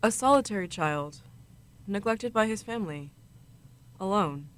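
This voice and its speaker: Radio drama spirit played by young woman: commanding, solemn, formal and probing, mid-range female pitch. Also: animated, measured pace, close to an everyday voice. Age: young adult. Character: solemn, commanding Ghost of Christmas Past. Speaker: student actor.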